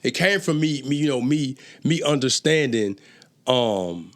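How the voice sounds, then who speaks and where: A man's voice: medium pitch, measured pace. A man, a rapper and entrepreneur, talking as the guest in a casual conversation, telling stories with profane street slang and stammering through restarts. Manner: stammers on restarts when excited.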